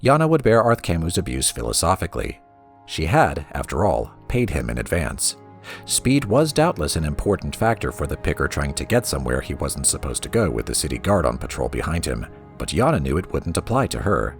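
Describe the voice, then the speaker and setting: Deep-voiced man, dramatic storybook narrator. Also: very animated, fairly quick, faint music behind. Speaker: lore reader for a gaming let's play.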